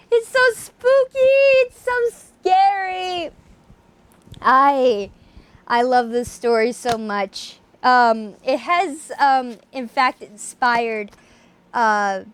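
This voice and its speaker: Slow, high-voiced theatrical woman. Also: dry recording. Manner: theatrical, dramatic, expressive. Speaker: amateur horror story reader and video host. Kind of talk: dramatic reading, then enthusiastic casual chat.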